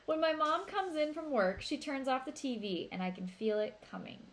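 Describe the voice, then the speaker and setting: A woman with a fairly high voice reads a children's novel aloud. Her delivery is expressive storytelling, suited to young listeners. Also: moderate pace. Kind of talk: expressive read-aloud narration.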